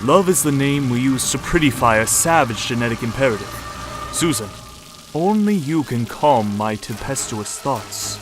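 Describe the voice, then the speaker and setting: A man's voice: mid-range voice, lively intonation, normal speed, over music, natural voice. A man playing a brooding, lovesick undersea ruler, speaking in florid, formal romantic declarations in a superhero drama. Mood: brooding longing. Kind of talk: scripted superhero audio drama.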